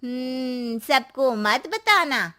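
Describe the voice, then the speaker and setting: A woman voicing a nosy, sly middle-aged auntie with an effusive, drawn-out way of talking, in a cartoon story. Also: sits high, animated, bright, forceful tone, heavily acted. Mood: probing, sly.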